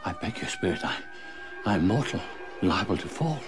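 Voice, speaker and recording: A man's voice: deep voice, very animated, normal speed. Man playing a frightened old miser, wildly swooping. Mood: frightened, pleading. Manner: formal, fearful pleading. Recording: music under the voice.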